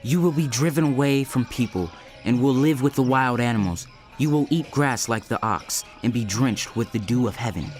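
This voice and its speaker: A man's voice: medium pitch, natural voice. An actor playing an earnest Hebrew prophet counselling a king in a dramatized audio Bible, speaking in a solemn, pleading, declamatory way. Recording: loud music behind the voice.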